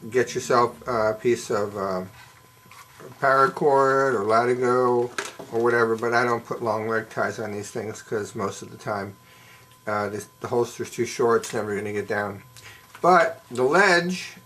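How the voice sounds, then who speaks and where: A middle-aged man talks slowly through his handiwork at a bench, a little hoarse. His voice sits at an ordinary middle pitch and comes through a camera mic. A light background noise hangs behind him. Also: fairly bright tone. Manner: plain, folksy, unhurried explaining with fillers. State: slightly hoarse, losing his voice. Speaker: leatherworker showing his work. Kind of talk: casual show-and-tell demonstration. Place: indoors at a workbench.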